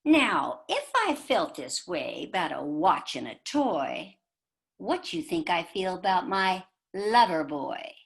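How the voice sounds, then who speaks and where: A woman's voice: lowish voice, sing-song, takes it slowly, vocal fry. A woman telling a joke and reciting a poem expressively for a community readers theater show over a video call.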